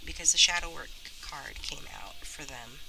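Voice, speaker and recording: A woman with a stuffy, slightly rough voice from a cold, chatting casually as she gives a tarot reading. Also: low voice, animated, moderate pace, background noise. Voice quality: a little rough. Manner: chatty, many fillers and restarts. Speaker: tarot reader.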